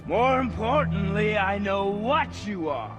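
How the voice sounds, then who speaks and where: An actor playing a menacing villain god in a video game, taunting with drawn-out words. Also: male voice, sits high, animated, over loud music.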